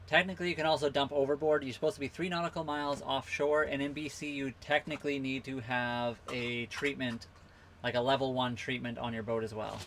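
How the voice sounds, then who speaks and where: A man from western Canada, hosting a casual live Q&A from his sailboat, reading out viewer comments and answering them in a relaxed, rambling way. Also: medium pitch, a little fast, a little hiss or hum. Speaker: livestream host answering viewer questions. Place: on a sailboat at a marina.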